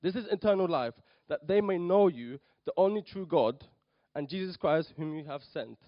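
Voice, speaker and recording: A man's voice: on the high side, expressive, moderate pace, no room sound, muffled recording. A young man from Poland, brought up in London, giving a hesitant sermon in church with many ums and restarts. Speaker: lay preacher. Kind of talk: nervous church talk.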